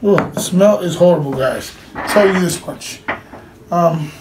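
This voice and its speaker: High-pitched middle-aged man, casual vlogger. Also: a little slow. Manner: informal, plain-spoken. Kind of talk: casual hands-on demonstration to camera. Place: indoors, small room with cloth backdrop. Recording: clearly noisy home recording.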